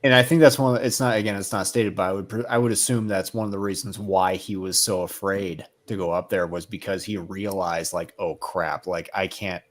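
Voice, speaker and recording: A man's voice: deep voice, fairly even intonation, fairly quick, hardly any pauses. A man co-hosting a casual book discussion, talking in an easygoing, informal way. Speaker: co-host.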